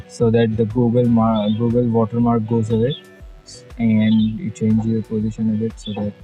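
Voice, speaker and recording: A young man from India with a Bengali Indian English accent, giving a casual, jokey software tutorial as a channel host, full of fillers and asides. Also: medium pitch, poor audio. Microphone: budget external mic.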